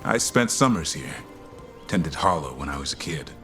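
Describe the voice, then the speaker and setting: An actor playing a proud elf monster hunter in a video game, gruff but fond, bristling when teased. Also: male voice, a bass voice, very animated, normal speed, a bit bright, faint music behind, full character voice. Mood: fond, earnest.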